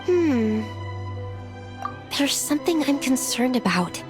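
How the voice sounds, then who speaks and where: Polite high-voiced researcher. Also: female voice, sing-song, slow, music in the background, full character voice. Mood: thoughtful, analytical. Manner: polite, precise, earnest. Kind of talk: scripted video game dialogue acting.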